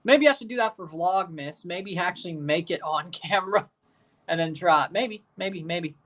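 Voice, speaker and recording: A man's voice: high-pitched, normal speed, a bit bright. A man in his twenties hosting a live match watchalong, talking casually and joking with his viewers between calls of the play. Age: 20s. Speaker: livestream host.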